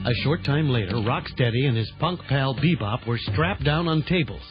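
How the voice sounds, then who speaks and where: An actor as the storyteller, giving dramatic scripted narration for a children's audio drama. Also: male voice, medium pitch, expressive, normal speed, natural voice. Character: male storyteller of an adventure tale. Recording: dull lo-fi audio with music.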